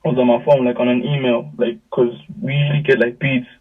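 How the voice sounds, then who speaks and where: Young man, South African accent, husky voice, casual slangy storytelling, narrow phone-like video call sound. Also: mid-range voice, a little fast, far from the mic. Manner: slangy, animated retelling with quoted dialogue. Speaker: music artist, interview guest.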